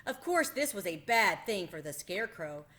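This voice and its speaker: Bright-voiced woman reading a storybook aloud. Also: mid-range voice, sing-song, normal speed. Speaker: read-aloud host. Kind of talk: children's storybook read-aloud narration.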